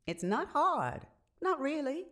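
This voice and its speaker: A woman's voice: high voice, sing-song, slow, a bit bright, exaggerated voice. A woman playing a practical, plainspoken, civic-minded adviser in a scripted audio play. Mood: instructive.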